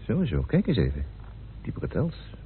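A man's voice: lowish voice, huge pitch swings, moderate pace. An actor playing an observant, deferential male assistant in a radio play. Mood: curious. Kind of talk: radio drama acting. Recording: tinny, noisy old radio recording.

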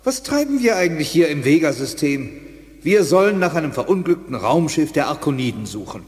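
An actor playing a blunt, skeptical reptilian alien officer in a science fiction radio drama. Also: male voice, a little high, big pitch swings, fairly quick, a little mellow. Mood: scornful, defiant. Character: reptilian alien commander, doubtful subordinate turned defiant. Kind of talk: 1980s German radio drama acting. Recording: studio radio play with long reverb.

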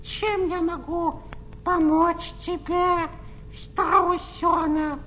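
Pompous, slow, high-voiced parrot. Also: flat delivery, bright, forceful tone, lo-fi sound, a little hiss or hum, full character voice. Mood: pompous, lecturing. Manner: pompous, drawn-out, self-important. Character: pompous, boastful parrot, wise know-it-all of the forest. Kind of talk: children's audio play acting.

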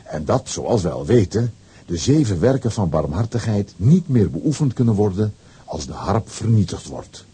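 A man narrating a scripted children's audio story with a husky voice and wry asides. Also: on the low side, sing-song, normal speed, mellow.

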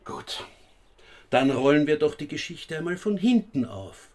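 An actor playing a brisk, matter-of-fact police investigator with an Austrian accent in a radio drama, businesslike and insistent. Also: male voice, a little high, big pitch swings, a little mellow.

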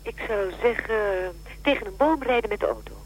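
An actress playing a brisk, matter-of-fact and drily blunt helpline operator in a radio drama. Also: female voice, medium pitch, a little hiss or hum, natural voice, low-quality recording.

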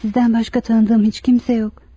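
An actress playing a timid young hospital patient who clings to others, polite and pleading, in a Turkish radio play. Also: female voice, on the high side, little pitch movement, normal speed, breathy edge, no echo. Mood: timid, attached. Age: young adult. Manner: soft-spoken, polite, pleading. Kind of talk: Turkish radio theatre acting. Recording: muffled old radio drama with music. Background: music.

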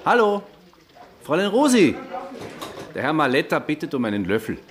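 Placating man, lively pitch. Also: normal speed. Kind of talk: German-language radio drama acting.

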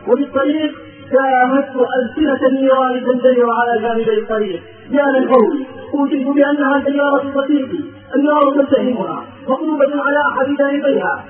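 High-pitched man preaching through heavy echo. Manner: impassioned, dramatic, pleading. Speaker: Islamic preacher. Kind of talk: emotional sermon with storytelling and rhymed exhortation. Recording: echoey, phone-like lo-fi, distant, music behind.

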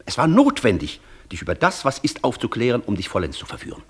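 An actor playing an intense, persuasive professor in a German radio play, declaiming and lecturing insistently with a husky voice. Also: male voice, mid-range voice, big pitch swings, fast talker. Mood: urgent, provoking. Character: intense, persuasive male professor tempting the Devil. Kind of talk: German radio play acting, long rhetorical speeches.

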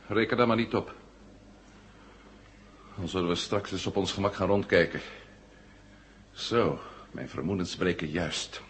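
An actor playing a determined, sharp-witted, suspicious astronaut hero in a 1970s radio drama, brisk and commanding. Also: male voice, sits low. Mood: wary, resolute. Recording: faintly noisy 1970 radio play.

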